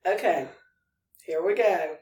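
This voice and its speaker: A woman interviewer speaks from a distance in an echoey room. She asks questions casually, as a podcast host, in a fairly low voice. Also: slow pace, darkish tone.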